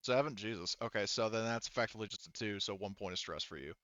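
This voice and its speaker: Male game master, forward bright tone, clean diction, casual and profane, dry close sound. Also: on the low side, fast, dry recording, highs cut off. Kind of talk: improvised tabletop roleplaying game narration and rules calls.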